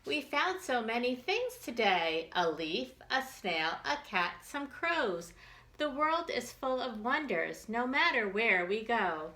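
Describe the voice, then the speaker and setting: A woman's voice: on the high side, big pitch swings, slow pace, sharp tone, slight background noise, poor audio. A woman hosting a storytime for young children, reading aloud in simple phrases and putting questions and asides to her little listeners. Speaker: children's librarian storytime host.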